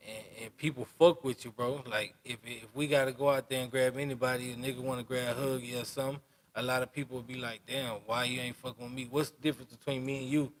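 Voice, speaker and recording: A man's voice: medium pitch, level tone, fast. Young man talking loose street slang. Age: 20s. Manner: loose street talk, keeps asking "you know what I'm saying". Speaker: podcast host. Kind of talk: casual interview.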